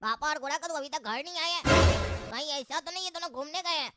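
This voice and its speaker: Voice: high-pitched, fast talker, music in the background, lightly acted. A performer voicing a cheeky, mocking male troublemaker in a Hindi comedy cartoon, teasing and scolding in exasperation. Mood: grumbling.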